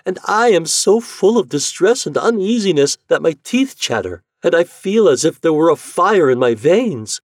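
A man's voice: fairly high pitch, big pitch swings, moderate pace, hardly any pauses, husky, no echo, studio quality, pitched above the performer's own voice, exaggerated voice. A man voicing an anxious, tormented wife in a fairy tale, wailing and fretful with dread. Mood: uneasy.

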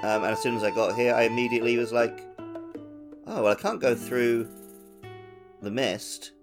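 A man's voice: medium pitch, normal speed. A man co-hosting a gaming podcast in casual conversation. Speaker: podcast co-host. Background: prominent plucked-guitar music.

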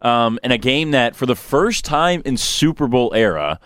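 A man's voice: medium pitch, expressive, moderate pace, fairly bright tone, no room sound, clean studio sound. A young man hosting a sports radio show in a casual, conversational style. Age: young adult. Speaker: sports talk show host.

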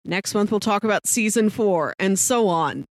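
A woman's voice: medium pitch, lively intonation, normal speed, no echo. Woman podcaster with a close, dry sound. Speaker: podcast co-host. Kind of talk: casual chat.

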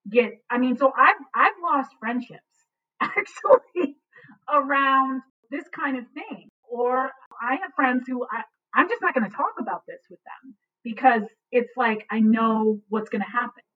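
A woman's voice: fairly high pitch, measured pace, recorded from a distance. A woman in her forties, an adoptee and writer, speaking earnestly in a panel conversation and often adding "you know" and "right". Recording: tinny phone-like line.

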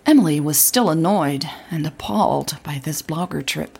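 A woman with a husky, breathy voice narrating a scripted audio drama story. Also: low voice, moderate pace, darkish tone, faint music behind.

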